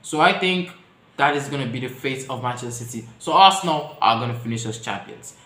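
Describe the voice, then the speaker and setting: A man's voice: expressive, a little fast, echoey room. A man giving his opinions to viewers in a casual vlog, chatty and speaking straight to the audience. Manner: chatty, addresses viewers directly, shifts pitch and loudness often. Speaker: football vlogger.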